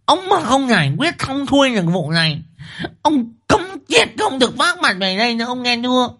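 Shrill fierce scolding wife. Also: male voice, very animated, a little fast, pushed and bright, full character voice. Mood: furious, commanding. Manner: hectoring, repeats demands. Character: fierce bossy scolding wife, loud and threatening.

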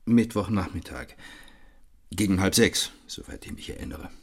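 An actor playing a reserved, dutiful private secretary in a radio crime drama, answering correctly and factually in a slightly husky voice. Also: male voice, low voice, lively intonation, normal speed, full character voice.

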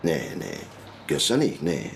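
Brief: evasive, defensive neighbor in a crime radio play, low creaky voice with swooping intonation. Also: male voice, big pitch swings, unhurried, a little hiss or hum, heavily acted. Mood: evasive, casual. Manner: colloquial, defensive. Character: evasive, jittery male neighbor being questioned. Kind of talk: radio crime drama acting.

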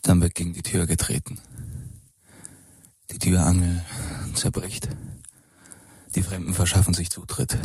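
A man in a radio drama playing a brooding investigator who reconstructs a crime in a deliberate, suspenseful manner with a husky voice. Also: deep voice, darkish tone, no room sound, heavily acted. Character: brooding male fairy-tale investigator reconstructing a killing.

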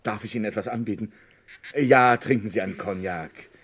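An actor playing a courteous but defensive small-town notary under suspicion, in a German radio crime drama. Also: male voice, on the low side, brisk, a bit bright, slight background noise, close to an everyday voice. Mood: soothing, nervous.

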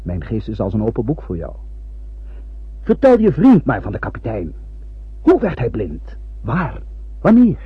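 An actor in a radio play voicing a mysterious, ominous prophet, theatrical and insistent, firing off rhetorical questions and warnings. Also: male voice, mid-range voice, wildly swooping pitch, a little slow, pauses often, husky, no room sound, full character voice. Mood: intense, taunting. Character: mysterious, ominous prophet warning young spacemen off a doomed voyage. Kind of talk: radio drama acting. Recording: dull, dry old radio play recording.

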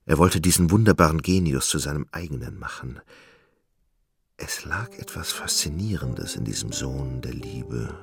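A man's voice: a bass voice, lively intonation, normal speed, creaky. A man narrating a literary radio play from a script. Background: music.

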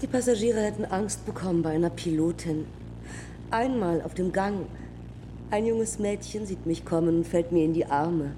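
A woman's voice: lowish voice, normal speed, big-room sound, background noise, close to an everyday voice. An actress playing a self-possessed young woman aviator in a German radio drama, speaking in terse, clipped fragments. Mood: wry recollection. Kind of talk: German public radio drama, lyrical dialogue.

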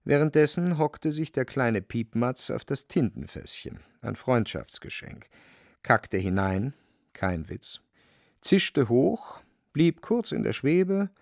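Knarrender männlicher Hörspielerzähler. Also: male voice, medium pitch, sing-song, slow, gravelly, no room sound, studio quality. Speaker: narrator. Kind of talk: dramatic literary radio play narration.

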